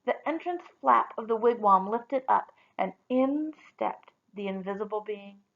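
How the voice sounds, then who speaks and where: A woman reading a story aloud expressively, with deliberate, dramatic emphasis on key words. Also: medium pitch, lively intonation, creaky, dull audio.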